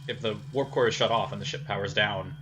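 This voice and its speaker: A man's voice: on the low side, steady tone, quick pace. A man talking casually as a player in a tabletop role-playing game. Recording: noisy group recording with room ambience.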